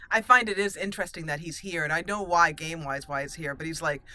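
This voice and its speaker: Bright-voiced woman doing game commentary. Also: deep voice, fast. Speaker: gaming channel host. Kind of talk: roleplay let's play commentary.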